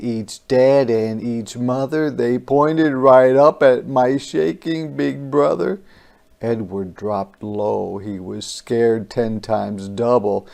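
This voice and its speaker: A storybook reader with a very slow, deliberate delivery. The voice is creaky and mellow, giving a rhyming children's tale theatrical phrasing. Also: mid-range voice. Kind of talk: dramatic rhyming children's read-aloud.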